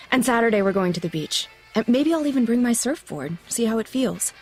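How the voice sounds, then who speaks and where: A woman acting a headstrong, restless blind high school girl in an audio drama, talking casually and naturally. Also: expressive, moderate pace, a little mellow, faint music behind, natural voice, rough recording. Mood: wounded, hopeful. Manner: casual, conversational.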